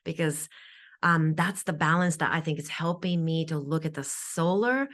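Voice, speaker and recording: Low-voiced woman with a Japanese accent. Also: moderate pace, a bit bright. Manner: earnest, flowing, repeats words as she restarts. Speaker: guest, functional nutritional therapist. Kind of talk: explanatory interview talk.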